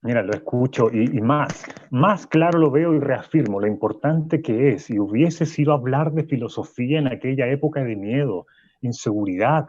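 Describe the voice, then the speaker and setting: A man acting as a didactic, indignant professor debating in a radio play. His voice is husky and he presses his point, doubling back on phrases. The sound is dry and slightly dull. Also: medium pitch, moderate pace, a little mellow, dry recording, close to an everyday voice. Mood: grave, reflective. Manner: insistent, repeats phrases, interrupting. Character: indignant, didactic old-school philosophy professor on a radio panel. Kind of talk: Spanish-language radio play as live debate.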